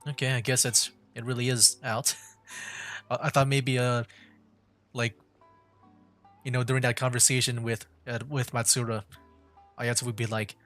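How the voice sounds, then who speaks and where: A man's voice: medium pitch, little pitch movement, moderate pace, pauses often, fairly bright tone. A man streaming a video game, giving informal, rambling commentary as he reacts to what happens. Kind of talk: casual livestream commentary. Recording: game music behind the voice. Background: game music.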